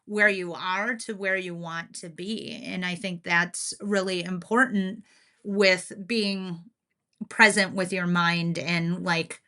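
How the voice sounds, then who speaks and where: A woman's voice: on the low side, steady tone, takes it slowly, bright, forceful tone. A woman co-hosting a podcast in a casual, reflective chat, agreeable and a little self-deprecating. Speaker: podcast co-host.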